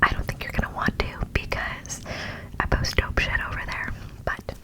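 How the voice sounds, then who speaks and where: A woman hosting ASMR in close whispers to the microphone, chatting casually and excitedly and often repeating words. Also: moderate pace. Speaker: ASMR video host.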